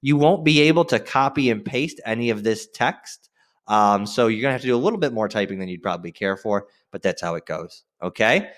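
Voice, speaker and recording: A male teacher giving an instructional screen-share lesson. His pitch moves in very lively swings as he stresses key phrases. He sounds informal yet directive, often checking in with his students. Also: a little fast.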